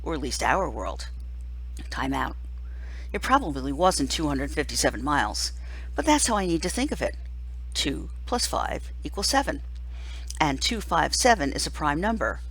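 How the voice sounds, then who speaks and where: Woman doing a read-aloud of a kids book, really animated, bit husky, leans on key words. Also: sits low, rough recording. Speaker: teacher reading a book aloud. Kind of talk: expressive audiobook-style read-aloud of a first-person children's novel.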